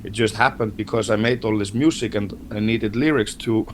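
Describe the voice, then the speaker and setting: Man from Iceland, Icelandic native, halting soft English, faint background hiss. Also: lowish voice, fairly even intonation. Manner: hesitant, repeats words often, soft-spoken. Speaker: band member, interview guest. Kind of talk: casual interview talk.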